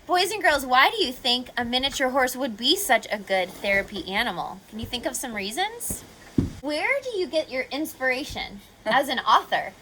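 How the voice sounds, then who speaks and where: A woman with a fairly high, bright voice presents to young children in an expressive read-aloud style. Her intonation is very lively, and she often turns to her listeners with questions. There is faint noise behind her. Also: normal speed, low-quality recording. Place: on location at a horse facility.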